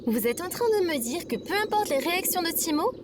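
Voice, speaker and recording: Woman playing a high-voiced, earnest female droid. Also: animated, quick pace, background noise. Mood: questioning. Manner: formal, literal, inquisitive. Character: earnest female AI companion droid, frustrated and questioning. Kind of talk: audio fiction acting.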